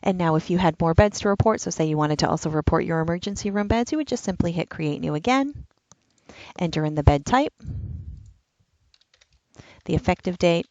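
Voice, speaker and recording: Gravelly-voiced woman walking through software. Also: on the low side, lively intonation, a little fast, long pauses, dry recording, highs cut off. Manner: step-by-step instructional, plain and patient. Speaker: enrollment trainer. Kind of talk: screen-recorded software walkthrough.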